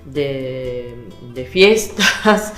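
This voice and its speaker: A woman speaking in simple, halting Spanish in a documentary interview. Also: rumbling low, expressive, fairly bright tone, soft background music. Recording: roomy echo.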